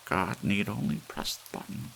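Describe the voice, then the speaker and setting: A man's voice: on the low side, expressive, moderate pace, creaky, fairly bright tone, a little hiss or hum, pitched above the performer's own voice, exaggerated voice. A man voicing an ancient, rickety widow, fretful and pious, in a storybook reading. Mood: eager, devout.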